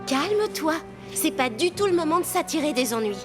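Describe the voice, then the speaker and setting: A woman's voice: sits high, very animated, fairly quick. A woman voicing a sensible young girl adventurer in a children's cartoon, firm and reasoning. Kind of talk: animated cartoon dub. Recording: loud music behind.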